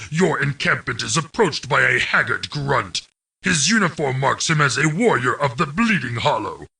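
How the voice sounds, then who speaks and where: A menacing orc narrates a fantasy game campaign with grave authority. The voice is hard-edged and forceful, set a little back from the microphone. The delivery is commanding, deliberate and dramatic, with a gravelly grain throughout. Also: male voice, mid-range voice, far from the mic, full character voice.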